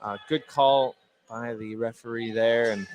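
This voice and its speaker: Male color commentator, mid-pitched voice, conversational sports analysis, halting delivery with repeated words, faint background noise. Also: steady tone, a little mellow, poor audio. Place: indoor gymnasium during a game.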